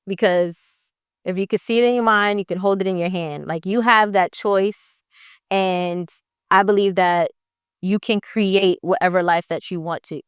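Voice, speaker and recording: A young woman from Jamaica answers interview questions in an upbeat, motivational way. Her voice is smooth and clear, recorded dry and close with no room sound. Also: mid-range voice, fairly even intonation, unhurried, no echo. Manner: upbeat, motivational, says "right?" often. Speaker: interview guest, entrepreneur. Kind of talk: casual interview.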